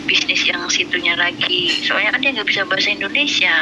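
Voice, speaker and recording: A woman's voice: on the low side, brisk, noisy background. A woman from Cirebon in her late thirties, a domestic worker who calls in and earnestly explains her work in long lists. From Cirebon, West Java. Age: late 30s. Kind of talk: casual chat.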